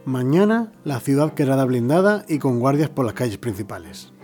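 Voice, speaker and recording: Man acting a stern, calculating official, mid-pitched. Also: big pitch swings, a little mellow, soft background music, natural voice. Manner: formal, measured. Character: stern, calculating male official plotting crackdowns. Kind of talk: audio drama acting.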